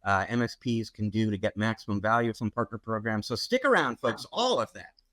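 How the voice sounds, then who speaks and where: A man's voice: on the low side, lively intonation, a little fast, a bit bright, no room sound. A man co-hosting a podcast, introducing guests in a casual, upbeat way with frequent ums and uhs. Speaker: podcast co-host.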